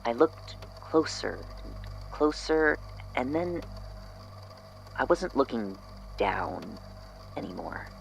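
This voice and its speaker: A man's voice: mid-range voice, big pitch swings, slow pace, vocal fry, pauses often, slight background noise, heavily acted, rough recording. A man playing a casual, reluctant archivist in an audio drama, reading a witness statement aloud in an informal, hesitant way. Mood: fascinated, uneasy. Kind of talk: audio drama podfic, conversational first-person storytelling.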